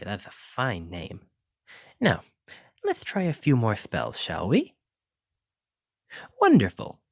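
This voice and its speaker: A man's voice: medium pitch, dry recording, studio quality, full character voice. A man playing a clumsy, kindly wizard teacher in an ASMR roleplay, with theatrical, old-fashioned, encouraging phrasing. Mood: delighted, encouraging. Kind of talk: ASMR roleplay addressed to the listener.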